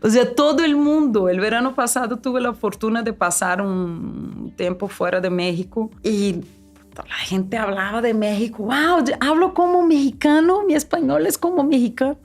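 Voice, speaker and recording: A woman from Brazil, a businesswoman guest in a casual interview, talking in an animated, chatty way with frequent restarts. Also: fairly high pitch, moderate pace. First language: Portuguese.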